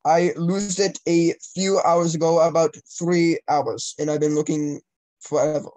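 A man's voice: a little high, little pitch movement, measured pace, darkish tone, poor audio. A teenage male talking casually and crudely while making prank calls from a small room at home. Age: teens. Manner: crude, foul-mouthed. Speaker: prank caller. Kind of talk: casual prank call banter.

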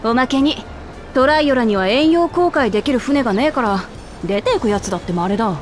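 Woman voicing a rough-talking tomboy princess. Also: a little high, lively intonation, a little fast, soft background music, close to an everyday voice. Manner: rough, boyish speech. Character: brash tomboyish young princess, boastful and friendly. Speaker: princess character in a game cutscene.

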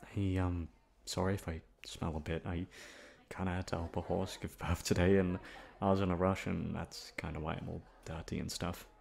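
A young man playing a shy, easygoing college classmate in an ASMR roleplay, talking casually in a hesitant, halting way. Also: low voice, creaky, a little mellow. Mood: shy, friendly. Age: young adult. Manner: hesitant, halting, awkward chuckles. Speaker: voice actor. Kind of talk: ASMR roleplay audio drama, casual conversational.

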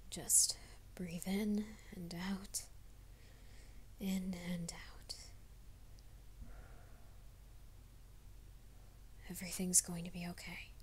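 A young man hiding a werewolf nature, voiced by a woman, his voice low and his speech slow. He mutters to himself in urgent, breathy whispers, tense and secretive. A faint hiss of noise sits under this ASMR roleplay audio. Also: darkish tone. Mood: whispered panic. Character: young male werewolf soldier, anxious and secretive.

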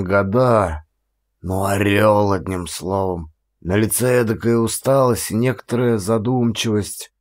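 An actor voicing a humiliated, sorrowful old man in an audiobook, hoarse and halting, with a plaintive, deferential way of talking. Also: fairly low pitch. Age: older. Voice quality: husky, hoarse half-whisper.

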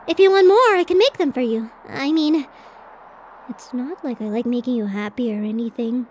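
A woman's voice: high voice, normal speed, a little mellow, slight background noise, lightly acted. A woman voicing a bashful, tender tsundere college girlfriend in a romantic ASMR roleplay, flustered and hesitant as she swings between protest and affection. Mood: tender, affectionate.

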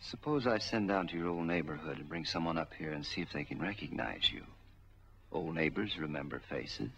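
An American actor playing a cold, vengeful middle-aged gangster in a 1940s film noir, speaking in a deliberate, threatening way. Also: male voice, rumbling low, expressive, a bit bright, heavily acted. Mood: grim, suspicious. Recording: dull, muffled 1940s film soundtrack.

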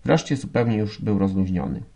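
Chropawy męski lektor audiobooka. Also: male voice, on the low side, brisk, creaky, mellow. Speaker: audiobook reader. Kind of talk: expressive literary narration.

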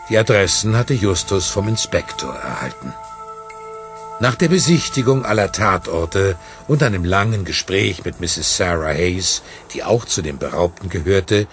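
Deep detective story narrator, scripted, music playing behind. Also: male voice.

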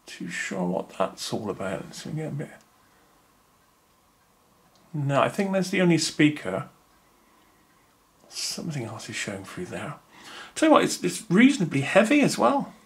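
Man, casual unboxing chat, thinking aloud, fillers and pauses, indoor tabletop. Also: on the high side, huge pitch swings, creaky. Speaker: unboxing video host.

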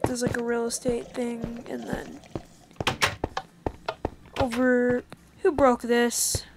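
A woman's voice: a little high, flat delivery, takes it slowly, noisy background. A young woman hosting a casual game commentary, chatty and upbeat as she recaps the session. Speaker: gaming channel host.

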